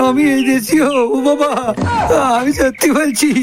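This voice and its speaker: High-pitched whining cartoon uncle, acted by a man. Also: flat delivery, normal speed. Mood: panicked pleading. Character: anxious, whining village uncle fearing deportation. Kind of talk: comic cartoon dialogue. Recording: music behind the voice.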